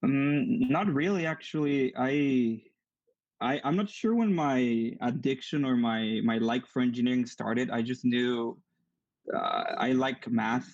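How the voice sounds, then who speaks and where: A man's voice: mid-range voice, unhurried, darkish tone, no room sound, muffled recording. Young man with a strong Mexican accent. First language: Spanish. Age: young adult. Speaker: guest, engineering student. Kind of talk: online interview.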